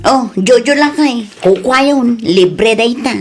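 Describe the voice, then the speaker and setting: A woman's voice: a little high, takes it slowly, music in the background. A woman playing a stressed, defensive young food vendor in a comedy drama, with an Ilocano accent and plaintive, insistent delivery. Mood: dejected.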